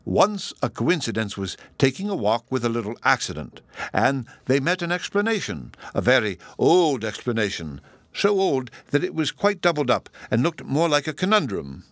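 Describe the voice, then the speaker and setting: A mild, scholarly professor played by a man in a storybook reading. He is fussy and courteous, with a bright, lifted, animated voice. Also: mid-range voice, normal speed, dry recording, pitched above the performer's own voice. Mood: candid, hesitant.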